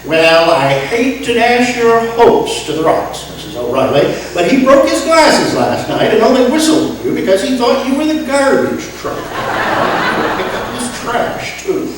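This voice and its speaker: A woman playing an excitable, penniless music maestro with a gambling habit, theatrical and wisecracking in an old-time radio sitcom. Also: fairly high pitch, measured pace, hardly any pauses. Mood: mocking. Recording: echoey, distant stage recording, faint noise.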